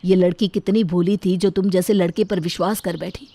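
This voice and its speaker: A woman's voice: on the low side, fairly even intonation, fairly quick, warm tone. A woman as an earnest, protective young man. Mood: stern. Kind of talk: dramatized Hindi moral story dialogue.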